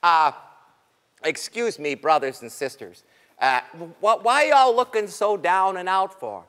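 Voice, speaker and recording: A man's voice: high voice, big pitch swings, unhurried, sharp tone, voice pushed down, exaggerated voice. Man playing folksy sly old rabbit in echoey hall. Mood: concerned, folksy. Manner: folksy, coaxing. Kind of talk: oral folktale storytelling. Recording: echoey hall with long reverb.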